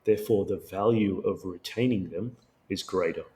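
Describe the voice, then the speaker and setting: A middle-aged man with an Australian accent, born in Sri Lanka, giving measured, explanatory answers as a co-founder guest in a business interview. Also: deep voice, a little mellow, slight background noise. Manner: measured, explanatory, with occasional restarts.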